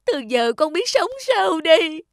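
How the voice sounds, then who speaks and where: A woman's voice: extremely high pitch, very animated, a little slow, dry recording, studio quality, full character voice. A woman voicing a distraught, pleading village woman in a cartoon folktale, wailing as she speaks. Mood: tearful pleading. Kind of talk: Vietnamese cartoon folktale dubbing.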